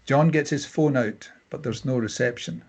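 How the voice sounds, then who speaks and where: A man with a husky voice reading scripted narration and stage directions at a table read. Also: medium pitch, moderate pace, darkish tone.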